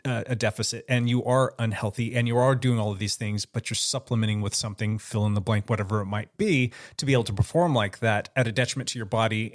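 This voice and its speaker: Fast-talking man musing aloud. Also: lowish voice. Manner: thinks aloud, hedging, rambling questions. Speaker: podcast co-host. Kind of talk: casual roundtable chat.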